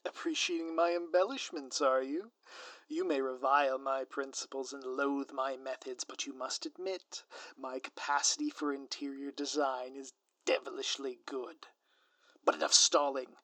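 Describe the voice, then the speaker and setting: A man's voice: mid-range voice, level tone, normal speed, fairly bright tone, no room sound, lightly acted. A man playing a gleefully scheming, grandiose supervillain in an audio roleplay, pompous and taunting with florid, melodramatic delivery. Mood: smug, showy.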